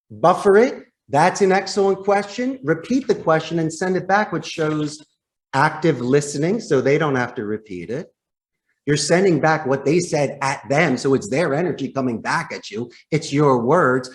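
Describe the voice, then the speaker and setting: A man speaking as a podcast guest and sales trainer, explaining phone technique emphatically and putting rhetorical questions straight to the listener. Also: fairly high pitch. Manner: emphatic, demonstrative, acts out example phone lines.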